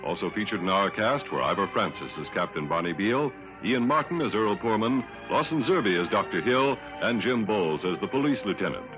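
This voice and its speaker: A man's voice: lowish voice, animated, a little fast. A man announcing credits in the formal style of 1960s network radio. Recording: muffled vintage radio broadcast with music.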